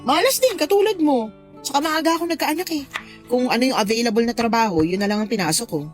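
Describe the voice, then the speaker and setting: A sassy Filipino working mother played by a woman talks in a raised, feminine register. She is the practical, teasing friend in a radio drama, blunt and wry, with soft music behind her. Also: on the high side, animated, quick pace, natural voice. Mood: frank, earnest. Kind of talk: Tagalog radio drama acting. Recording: music bed behind the dialogue.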